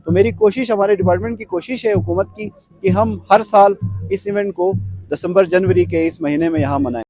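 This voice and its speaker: A male government official gives interview remarks. His voice is quite high in pitch yet soft and mellow, and music plays behind it. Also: rough recording.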